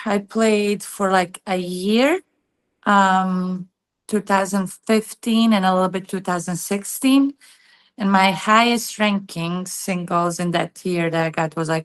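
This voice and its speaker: A woman's voice: fairly low pitch, clean tone. A woman in her thirties from Bosnia and Herzegovina, a guest telling her story in a chatty interview, full of "like" and "you know". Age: 30s. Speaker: guest, tennis player and coach. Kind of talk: casual interview chat.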